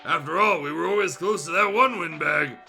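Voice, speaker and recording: A man's voice: on the high side, fairly quick, vocal fry, pushed and bright, soft background music, full character voice. A man voicing a brash, callous dinosaur barkeep with a hard, jovial manner in a fan fiction reading.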